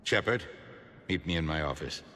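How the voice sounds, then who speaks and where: A man playing a proud, insistent human politician in a video game, forceful and oratorical. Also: extremely low pitch, expressive, normal speed, slight background noise, exaggerated voice. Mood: indignant. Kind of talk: dramatic video game acting. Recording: echoing hall, faint background ambience.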